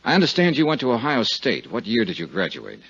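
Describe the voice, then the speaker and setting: An actor playing a cool, persistent, polite insurance investigator in a 1950s radio detective drama. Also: male voice, mid-range voice, wildly swooping pitch, fairly quick, vocal fry, fairly bright tone, breathy edge, exaggerated voice. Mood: firm, probing. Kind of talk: 1950s radio detective acting and first-person narration. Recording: dull 1950s radio recording.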